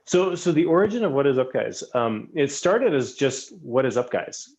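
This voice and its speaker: A man's voice: mid-range voice, sing-song, normal speed, darkish tone. A man, a guest on a podcast, talking in an easygoing, thoughtful, self-deprecating way. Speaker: knife designer guest. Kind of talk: casual podcast interview.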